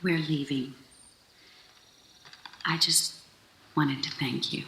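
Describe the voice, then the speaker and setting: A married woman in an audio drama, worn out and hesitant but sincere. Her voice is bright and clear-cut in tone. The sound is roomy, with noise behind her. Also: low-pitched, steady tone, slow pace, full character voice. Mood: weary, grateful. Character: weary, grateful married woman, hesitant and earnest.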